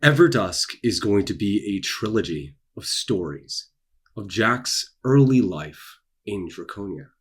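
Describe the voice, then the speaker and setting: A young adult man with a husky voice, talking casually to his audience as an author and creator, with theatrical emphasis and dramatic pauses. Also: lowish voice, expressive. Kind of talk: casual promotional vlog, dramatic delivery. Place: small dark room indoors.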